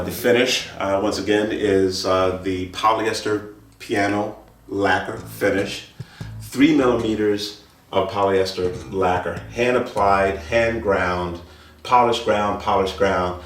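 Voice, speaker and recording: Low-voiced man in a roomy, distant recording. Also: fairly even intonation, a little slow. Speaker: audio dealer presenting loudspeakers. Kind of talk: informal product presentation. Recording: roomy, distant mic, faint music behind.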